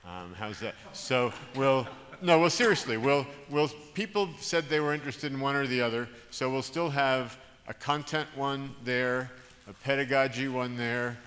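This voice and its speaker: A man's voice: mid-range voice, moderate pace, a bit bright. A man moderating a summit discussion in a large conference room, talking with frequent restarts and chuckles. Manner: hesitant, restarts often, chuckles. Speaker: panel moderator. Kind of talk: organizing a group discussion. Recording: roomy hall reverb, background hiss.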